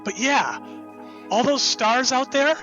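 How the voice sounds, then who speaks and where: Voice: sits high, slow, a bit bright, dull audio, faint music behind. An actor playing an enthusiastic, rambling writer who created the hero, in an audio drama. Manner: enthusiastic, rambling, self-interrupting.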